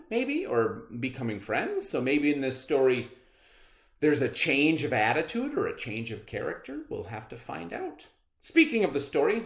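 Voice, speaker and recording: Very animated, slow-paced male teacher. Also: fairly high pitch. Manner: expressive, instructive, asks rhetorical questions with check-ins. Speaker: elementary school teacher. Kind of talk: children's read-aloud lesson. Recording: echoey room sound.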